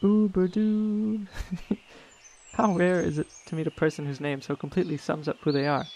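Smitten young male cleric, higher voice, effusive and gushing, audio drama. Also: flat delivery, normal speed, mellow. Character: gushing, lovestruck young religious brother.

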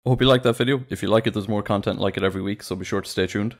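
A man reading scripted educational narration in a clear, explanatory way. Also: on the low side, fairly even intonation, racing. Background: background music.

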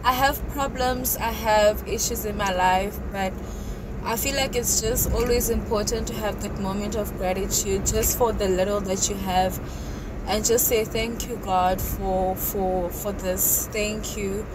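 A woman's voice: mid-range voice, steady tone, a little slow. A woman in her late twenties from South Africa, vlogging from the driver's seat about gratitude in a reflective, chatty way. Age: 20s. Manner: reflective, grateful, chatty with frequent "you know". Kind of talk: casual vlog talk to camera. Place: inside a car while driving. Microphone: phone camera mounted on the dashboard. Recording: road and engine noise in car.

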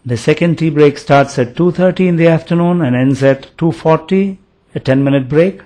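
A man giving a voice-over for a product demonstration, explaining it step by step in a measured way. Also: a little high, mellow. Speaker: product demo narrator.

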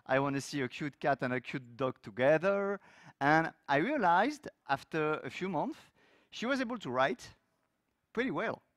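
A man's voice: fairly high pitch, no room sound, clean studio sound. French-accented man giving a conference talk. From France. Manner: loose, lively delivery with frequent fillers and restarts. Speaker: conference speaker. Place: large conference hall stage.

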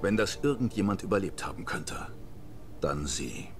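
A man playing a grave, resolute military commander in a video game, speaking with solemn, measured authority. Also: deep voice, very animated, slight background noise. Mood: grave, determined. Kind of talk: scripted game narration and mission briefing.

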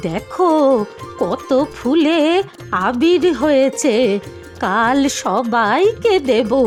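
Slow sing-song stingy granny. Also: female voice, sits high, heavily acted. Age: older. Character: stingy but clever old grandmother. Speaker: grandmother. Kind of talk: Bengali cartoon voice acting.

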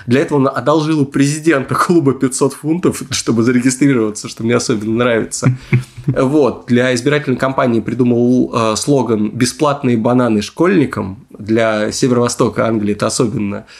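A man's voice: mid-range voice, steady tone, fairly quick. A man hosting a podcast in a casual storytelling style, with wry, hedging asides. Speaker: podcast host.

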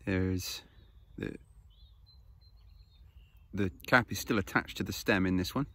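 A man in his thirties talks easily to his phone camera while standing outdoors on a grassy hill. His voice sits low and has a gravelly rasp. He explains step by step in a relaxed way, with plenty of ums and uhs. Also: slow pace, dry recording. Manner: casual, explanatory, easygoing with fillers. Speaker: foraging channel host. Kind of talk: outdoor how-to vlog. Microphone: phone camera held close.

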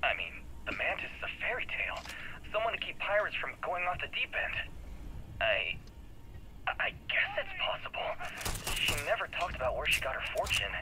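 A man voicing a wary male companion in a video game, with a lively, expressive delivery. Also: fairly high pitch, moderate pace, pauses often, full character voice. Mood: astonished. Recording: game audio with noisy background.

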